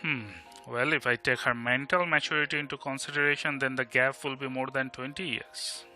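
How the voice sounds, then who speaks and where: A man's voice: medium pitch, steady tone, brisk, pushed and bright, soft background music. A man with an Indian Bengali accent playing a blunt, sardonic, protective best friend in an audio drama. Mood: matter-of-fact.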